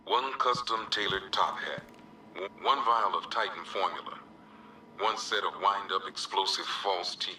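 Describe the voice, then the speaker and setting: An actor playing a police evidence officer in a video game, reading out items in a flat, official way with dry asides. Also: male voice, sits low, unhurried, recorded from a distance, slight background noise, heavily acted, low-quality recording. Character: gruff male police officer cataloguing confiscated villain evidence. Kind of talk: video game character dialogue.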